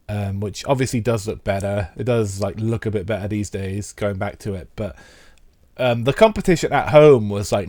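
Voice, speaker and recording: A man's voice: lowish voice, a little mellow, dry recording. A man with a stuffy head cold, chatting casually to live viewers while playing an old racing game. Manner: rambling, chatty, talks to chat viewers. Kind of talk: casual live-stream commentary.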